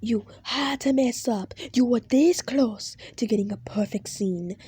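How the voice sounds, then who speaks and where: A woman voicing a cruel, hot-tempered male boss who shouts and swears. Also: mid-range voice, expressive, takes it slowly, breathy edge, no echo. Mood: furious.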